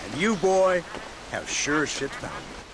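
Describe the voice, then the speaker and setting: A sardonic, menacing lawman in a game drama. He talks slowly and condescendingly, with lively swings in pitch. Noisy outdoor ambience sits behind him. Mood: wary amusement. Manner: mocking, drawling, condescending. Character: smug, sardonic, menacing aging government lawman. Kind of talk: video game dramatic acting.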